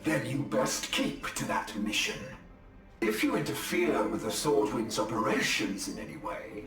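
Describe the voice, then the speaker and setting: Menacing alien ruler: mid-pitched, haughty, dramatic intonation, echoey, sci-fi game. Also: male voice, big pitch swings, unhurried. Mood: cold, threatening. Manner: imperious, menacing. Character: haughty, threatening male alien warlord. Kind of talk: dramatic video game cutscene acting. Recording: distant, echoey, music behind.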